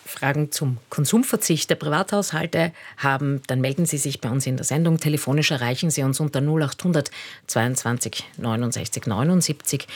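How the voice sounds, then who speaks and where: A woman's voice: extremely low pitch, breathy edge. A woman moderating a live radio phone-in discussion as its host. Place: radio studio.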